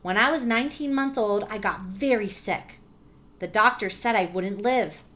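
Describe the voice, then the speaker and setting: A woman teacher reading a picture book aloud to her class, stopping to explain and check understanding. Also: medium pitch. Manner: warm encouraging teacher voice, pausing to explain.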